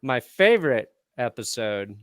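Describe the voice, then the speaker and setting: A man on a casual sports podcast panel, measured and agreeable. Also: mid-range voice, sing-song, a bit bright, clean tone. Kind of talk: casual chat.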